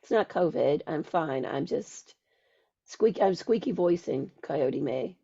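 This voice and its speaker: A woman hosts a podcast, her voice squeaky from a cold. She speaks slowly in a dark, gravelly tone and reads a ritual invocation in a deliberate chant. The sound is dull and lo-fi. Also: animated. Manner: slow, deliberate, chanting readings. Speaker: podcast host. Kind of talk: casual podcast chat and ritual invocation reading.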